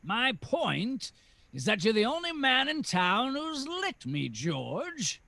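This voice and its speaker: Scheming old tycoon, 1940s radio drama. High-pitched, sharp, condescending, unhurried. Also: male voice, wildly swooping pitch, creaky, no room sound, heavily acted. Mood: persuasive. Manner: slow, deliberate, condescending. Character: scheming, sneering old rich businessman villain.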